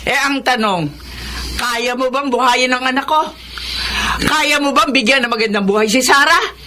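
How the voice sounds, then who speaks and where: A woman's voice: sits high, normal speed, pushed and bright. A woman acting as a stern, domineering middle-aged Filipino mother in a radio drama, with a haughty, scolding and volatile delivery. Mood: scornful, outraged. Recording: faint background noise.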